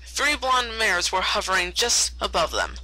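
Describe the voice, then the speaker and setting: A woman's voice: medium pitch, very animated, moderate pace, pushed and bright, no echo, poor audio. A woman reading fan fiction aloud as a storyteller, often stumbling over words and repeating them. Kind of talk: read-aloud story narration.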